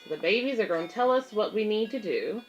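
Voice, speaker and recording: A woman's voice: mid-range voice, expressive, quick pace, lo-fi sound, faint music behind. A woman hosting a children's read-aloud, reading a picture book and explaining it simply to young listeners.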